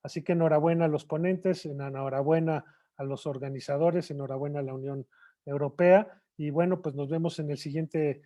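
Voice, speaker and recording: A man's voice: fairly high pitch, steady tone, a little fast, mellow. A man giving a closing speech at a webinar, searching for words with frequent fillers and repeated phrases.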